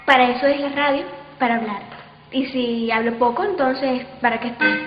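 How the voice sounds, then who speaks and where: A radio actress giving an interview, a woman who speaks emphatically and haltingly. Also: high-pitched, over loud music. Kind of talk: interview talk. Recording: echoey hall, muffled.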